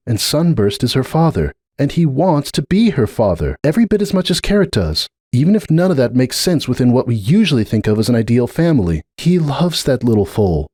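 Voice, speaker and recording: A man's voice: mid-range voice, mellow, dry recording, studio quality, pitched above the performer's own voice. A man voicing an earnest female cartoon pony who argues her case insistently in a dramatic reading. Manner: insistent, argumentative, emphatic.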